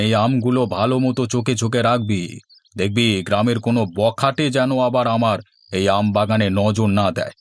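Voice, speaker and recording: A man's voice: mid-range voice, lightly acted. A man voicing a bossy, suspicious village headman in a cartoon. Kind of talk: cartoon dialogue. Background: instrumental music.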